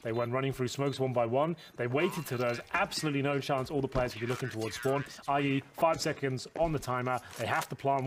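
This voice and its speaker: A man casting an esports match, breaking down tactics quickly with a joking, bantering manner. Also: mid-range voice. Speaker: esports caster. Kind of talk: analytical commentary with banter. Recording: noisy broadcast with game sounds.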